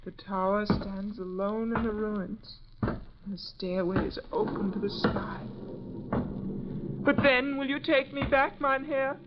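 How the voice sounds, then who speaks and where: A woman's voice: medium pitch, slow pace, lightly acted. An actress playing an innocent, frightened young woman in an old-time radio drama, wary and earnestly pleading. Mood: alarmed pleading. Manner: earnest, fearful, breathless pleading. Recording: muffled, noisy vintage radio recording.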